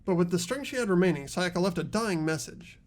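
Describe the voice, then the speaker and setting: A man voicing an earnest high school boy in a mystery game, explaining a case in a deliberate, dramatic way. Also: animated. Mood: grave, determined. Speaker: amateur voice actor in a game playthrough.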